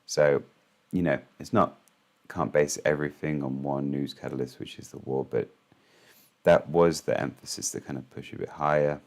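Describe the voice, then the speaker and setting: A man with an extremely low, hoarse voice talks through the morning's market moves. He speaks in a casual, halting way, full of ums and restarts, like a host thinking aloud on his own channel. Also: fairly even intonation.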